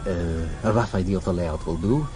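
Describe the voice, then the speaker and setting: An actor in a vintage radio drama playing a ruthless, commanding Soviet colonel, blunt and imperious. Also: male voice, low voice, brisk, music in the background, natural voice. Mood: commanding, impatient. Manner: blunt, imperious, clipped orders.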